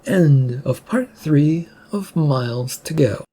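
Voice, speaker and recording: A narrator reading a scripted story aloud in a slightly halting, amateur way. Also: medium pitch, lively intonation, warm tone, slightly rough.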